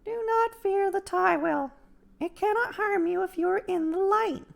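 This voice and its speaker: Guy doing an old holy woman in a fantasy story, super high and crackly, calm and reassuring. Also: normal speed, gravelly, heavily acted. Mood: calm reassurance.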